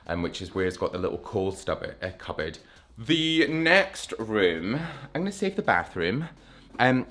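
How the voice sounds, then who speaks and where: A man in his thirties giving a casual, self-mocking tour of his flat, talking to a handheld camera as he walks from room to room. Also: fairly low pitch. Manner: chatty, self-mocking, enthusiastic. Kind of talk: casual walk-through chat. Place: rooms of a London flat, indoors. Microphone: handheld camera at arm's length.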